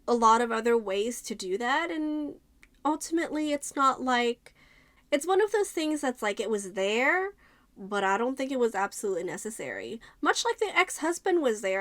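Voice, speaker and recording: A woman's voice: on the high side, dry recording. A woman giving a casual, conversational book review, thinking aloud and stressing her points as she talks to viewers.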